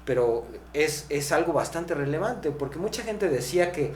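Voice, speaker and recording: A Mexican man hosting a podcast, explaining conversationally and haltingly, often tagging his phrases with a questioning word. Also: fairly high pitch, expressive, darkish tone. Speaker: podcast host. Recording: echoey room, clear background noise, hum.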